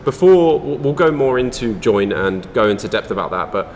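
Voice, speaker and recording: A man's voice: medium pitch, big pitch swings, normal speed. A man hosting a podcast interview, asking questions and chiming in with short affirmations. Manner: short affirming backchannels, friendly prompts. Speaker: podcast host. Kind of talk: casual interview. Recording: long reverb, faint background noise.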